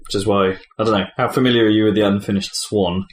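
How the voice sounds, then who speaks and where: Low-voiced man hosting in a roomy space. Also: fairly even intonation, fast talker. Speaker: podcast host presenting award categories. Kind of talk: casual podcast discussion. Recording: roomy, faint background noise.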